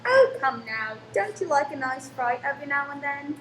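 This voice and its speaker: Woman acting an innocent high-voiced young daughter. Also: sing-song, normal speed. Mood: cheerful, curious. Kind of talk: radio play acting. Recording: roomy, echoing, faint background noise.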